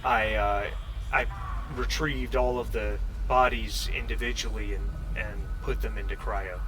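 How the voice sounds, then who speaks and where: A man playing a shaken space station crewman in a tabletop game, giving grim testimony slowly and hesitantly. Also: on the low side, fairly even intonation, background noise, voice pushed down, close to an everyday voice. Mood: weary, hesitant. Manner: hesitant, slow, trailing off.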